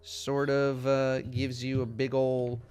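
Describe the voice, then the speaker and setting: Brief: young male game master, smooth clear tone, casual, halting narration. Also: mid-range voice. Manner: relaxed, hesitant narration with many fillers. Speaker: dungeon master.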